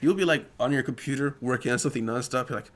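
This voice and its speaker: A man's voice: medium pitch, racing, a bit bright. A man hosting a casual podcast, chatty and teasing as he wraps up the episode. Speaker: podcast host. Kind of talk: casual podcast chat and sign-off.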